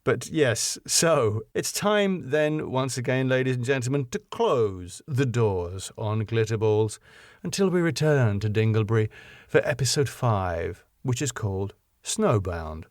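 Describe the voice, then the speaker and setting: A man's voice: mid-range voice, animated, a little slow, a little mellow, no echo, clean studio sound. A middle-aged man with a British accent, narrating and chatting as a jaunty, self-deprecating podcast host. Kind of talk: scripted story narration and chatty podcast outro.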